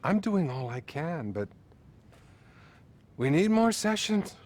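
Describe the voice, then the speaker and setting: A man playing a patronizing, self-serving therapist in a video game, speaking in a measured way with feigned concern. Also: on the high side, big pitch swings, darkish tone, heavily acted. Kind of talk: video game cutscene acting.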